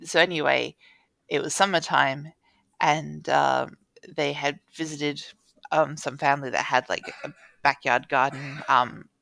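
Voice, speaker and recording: A woman's voice: low voice, steady tone, a little slow, vocal fry, fairly bright tone, no echo. An Australian woman co-hosting a casual chat, telling anecdotes with informal, dry humour and acted-out quotes. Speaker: podcast co-host.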